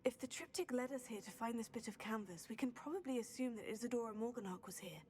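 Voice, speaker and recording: A woman's voice: fairly high pitch, quick pace, a little mellow, lightly acted. An actress playing a determined young female student witch, earnest in manner.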